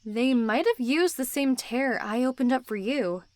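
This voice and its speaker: A woman's voice: high-pitched, animated, unhurried, a little mellow, no room sound. A woman playing a protective young supernatural woman who keeps secrets, earnest and pleading, in amateur roleplay acting. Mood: serious, concerned.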